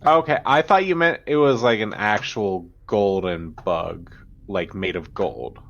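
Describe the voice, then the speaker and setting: A man's voice: fairly low pitch, lively intonation, a little slow, muffled recording. A man chatting casually as a player in a tabletop game. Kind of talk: casual chat.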